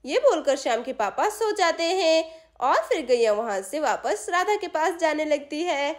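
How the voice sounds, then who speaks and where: A woman's voice: sits high, lively intonation, unhurried, background noise. A woman narrating a scripted children's story as the storyteller.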